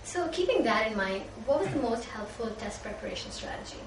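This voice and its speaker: Fast-talking young woman moderating. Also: a little high, lively intonation. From Pakistan. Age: young adult. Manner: quick, leading questions with brief acknowledgements. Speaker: moderator of student panel. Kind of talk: casual group discussion. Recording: roomy, distant mic with background noise.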